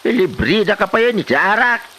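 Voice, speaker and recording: A man's voice: high-pitched, sing-song, fairly quick, pushed and bright, full character voice. A man playing a disapproving village elder who scolds and lectures in a comedy drama. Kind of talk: Ilocano comedy drama acting.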